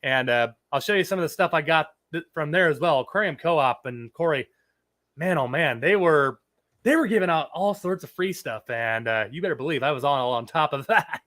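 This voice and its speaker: A man with a fairly high voice hosts a relaxed live stream, his throat a touch scratchy from a cold. He rambles easily and stops to greet viewers from the chat. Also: normal speed. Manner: chatty, rambling, reads chat aloud. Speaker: livestream host.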